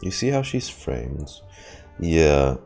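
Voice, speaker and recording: Low-voiced man reacting to a game. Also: sing-song, takes it slowly, creaky, a little mellow, soft background music. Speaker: streamer. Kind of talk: casual game reaction commentary.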